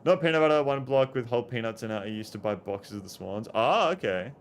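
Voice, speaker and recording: A man's voice: medium pitch, expressive, fast talker, a little hiss or hum. A young Australian man casually chatting and rambling while streaming a game, swearing now and then and answering chat.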